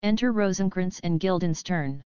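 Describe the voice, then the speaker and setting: Low-pitched woman narrator, lo-fi sound. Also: moderate pace, dark tone, clear, resonant tone, no room sound. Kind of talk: reading stage directions. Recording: dull lo-fi sound, music behind.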